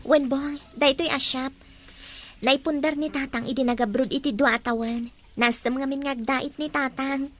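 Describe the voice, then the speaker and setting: A shy young female student , acted by a woman, speaks in a high voice. She is modest and caring in the manner of a radio drama's soft-hearted elder sister. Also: fairly even intonation, moderate pace, lightly acted. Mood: friendly. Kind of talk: Ilocano radio drama acting.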